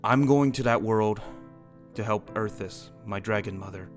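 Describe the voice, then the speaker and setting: A man playing an earnest dragon slayer who rallies allies, speaking deliberately in an improvised fantasy game roleplay. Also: lowish voice, music in the background, natural voice. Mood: grave, urgent.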